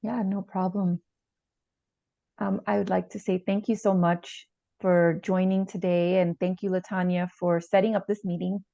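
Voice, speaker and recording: A woman playing a calm, supportive family therapist, speaking in a reassuring, slightly hesitant way in a role-played session. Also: lowish voice, normal speed, gravelly. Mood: friendly, professional. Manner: reassuring, hesitant, repeats gently. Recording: echoey room, slightly dull sound.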